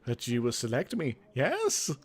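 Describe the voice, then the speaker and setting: A man's voice: mid-range voice, huge pitch swings, measured pace, breathy edge, heavily acted. A man voicing a proud, impatient young sorceress in a video game, eager for battle and boastful. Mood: insistent, competitive.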